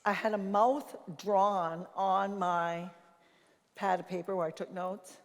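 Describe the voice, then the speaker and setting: An older woman with a naturally loud voice speaks in a big, reverberant hall. Her pitch sits in the middle range for a woman. She talks informally as a conference speaker, telling stories and playing out quoted exchanges. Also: steady tone, slow pace. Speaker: conference speaker and leadership consultant. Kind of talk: informal conference talk with anecdotes, answering questions.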